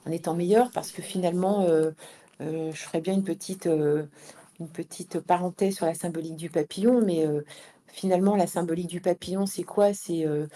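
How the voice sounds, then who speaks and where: A woman being interviewed as a guest, speaking conversationally and haltingly, often repeating words as she builds her answers. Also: on the low side, mellow. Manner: hesitant, halting delivery with many repeated words. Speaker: interview guest, founder and manager of an event venue.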